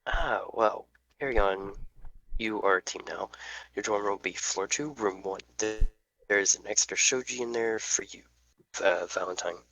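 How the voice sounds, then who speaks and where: Man playing a reserved, bright-voiced school principal. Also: lowish voice, normal speed, poor audio. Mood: formal. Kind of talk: amateur online table read.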